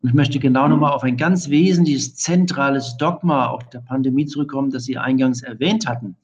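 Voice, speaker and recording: A middle-aged man gives long, carefully built expert answers at an ordinary pitch. His manner is formal and academic. The audio sounds dull and lo-fi, as if the top end were cut off. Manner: measured, long formal sentences with academic vocabulary. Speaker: infection medicine expert guest.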